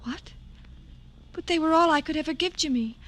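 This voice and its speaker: An actress playing a gentle, devoted young woman in grief, tender and theatrical in the manner of old radio melodrama. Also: sits high, moderate pace. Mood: wistful, pleading. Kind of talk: 1950s radio melodrama acting. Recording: slightly noisy vintage radio recording.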